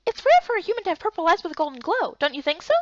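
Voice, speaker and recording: A woman's voice: very high-pitched, big pitch swings, very fast, no room sound, muffled recording, voice pushed up. A woman voicing a small, chirpy, eager young brownie girl in a visual novel dub, bubbly and chatty. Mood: bubbly, helpful.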